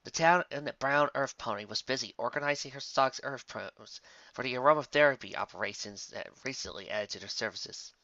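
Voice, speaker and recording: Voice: medium pitch, brisk, bright, forceful tone, no room sound. A narrator reading scripted story prose aloud with a dramatic storytelling manner. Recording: slightly muffled close dry recording.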